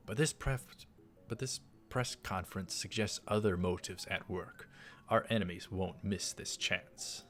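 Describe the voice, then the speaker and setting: Low-pitched man reading a game story aloud. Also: a bit bright. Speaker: let's player reading a visual novel aloud. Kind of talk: reading story text aloud with casual asides. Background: game music.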